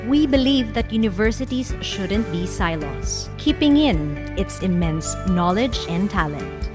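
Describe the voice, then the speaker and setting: A woman's voice: mid-range voice, animated, measured pace. A woman reading scripted promotional narration for a university in the role of video narrator. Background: music.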